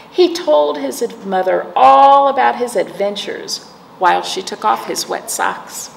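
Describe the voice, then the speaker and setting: A middle-aged woman hosting storytime as a children's librarian, reading aloud and chatting to young listeners in simple phrases with questions. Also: medium pitch. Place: indoor library room, seated before patterned wall. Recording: echoey room with clear background noise.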